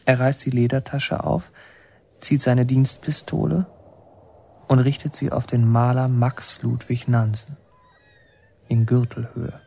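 An actor playing a reflective son who narrates a war story in a radio drama, measured and literary. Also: male voice, medium pitch, level tone, creaky, mellow, slightly rough. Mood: observant.

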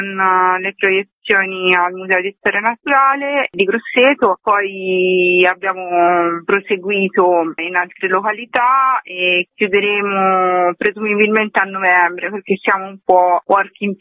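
A woman's voice: mid-range voice, no echo. A woman, a festival curator giving informal answers about a program in a radio interview. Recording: tinny telephone line.

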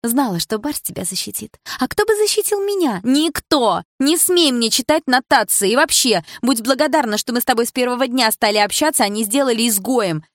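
A woman doing a shrill, resentful teenage girl. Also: animated, normal speed, no echo, studio quality. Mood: bitter. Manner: shrill, accusing, near shouting. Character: defensive, resentful teenage schoolgirl.

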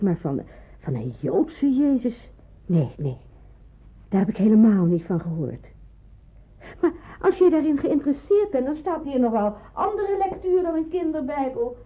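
A woman's voice: a little high, moderate pace. A woman acting a sceptical, incredulous wartime woman in hiding in a Dutch radio drama. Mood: incredulous, teasing. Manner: incredulous, hesitant, expressive. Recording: muffled, band-limited old radio recording.

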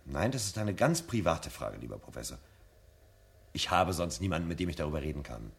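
A man's voice: extremely low pitch, very animated, fairly quick, creaky, exaggerated voice. An actor playing an earnest, insistent man searching for answers in a German radio drama, polite but urgent. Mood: earnest, probing. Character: earnest, insistent man seeking forbidden knowledge.

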